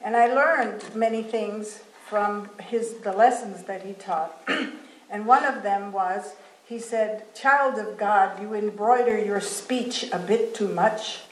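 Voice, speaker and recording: A woman's voice: medium pitch, slow pace. A woman from Venezuela, speaking as a guest and telling her life story in a rambling, anecdotal way. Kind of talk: personal storytelling talk. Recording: echoey hall with faint noise.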